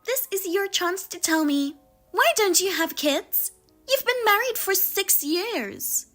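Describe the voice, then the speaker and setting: A woman voicing a pushy, scornful sister-in-law who is a working mother, talking down to her in a sharp, mocking way. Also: very high-pitched, sing-song, slow pace, soft background music, voice pushed up, full character voice. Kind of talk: dramatized story reading.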